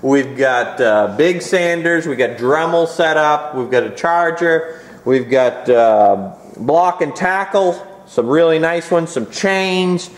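A man with a fairly high voice strolls through a big barn and lists item after item for sale at an unhurried pace. He fills the gaps with "uh". The room rings with a strong echo. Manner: steady listing with frequent "uh" pauses. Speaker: auctioneer previewing auction items. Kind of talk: walkthrough listing items for sale. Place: large indoor auction barn full of tools.

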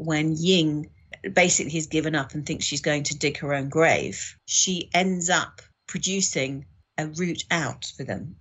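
A woman's voice: sits low, fairly bright tone, breathy edge. A woman guest on a podcast, reflectively discussing literature and tentatively thinking aloud as she goes.

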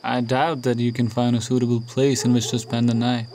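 A man playing a solitary, brooding recluse in a radio drama, speaking formally and bluntly in measured phrases. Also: medium pitch, flat delivery, a little mellow, a little hiss or hum, natural voice. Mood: blunt, reserved. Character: solitary, brooding man who shuns modern life.

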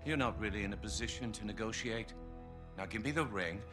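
An actor playing a suave, sinister agent in a video game, formal, precise and smug. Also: male voice, lowish voice, brisk, over music, lightly acted. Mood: polite menace.

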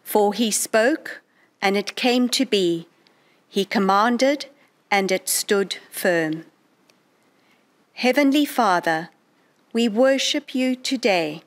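A middle-aged woman reading scripture and prayers aloud in a measured, solemn way. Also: medium pitch. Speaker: prayer leader in church service. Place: indoors in a chapel, at a lectern. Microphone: gooseneck lectern microphone.

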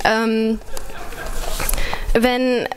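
High-voiced young woman at a slam. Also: flat delivery, extremely slow, background noise. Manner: chatty and hesitant in the intro, earnest and ironic when reading. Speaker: poetry slam performer. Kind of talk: spoken word performance. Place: large dark stage. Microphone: stand mic.

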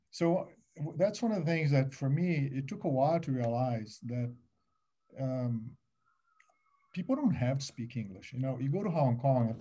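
Mellow-voiced middle-aged man on a video call. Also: medium pitch. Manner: animated, gesturing, restarts and many fillers. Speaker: alumni panelist. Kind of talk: online panel talk. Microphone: laptop webcam on a video call.

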